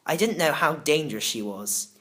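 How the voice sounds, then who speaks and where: Animated male children's story narrator. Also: fairly high pitch, normal speed. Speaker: audiobook narrator. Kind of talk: dramatic first-person narration of a children's novel.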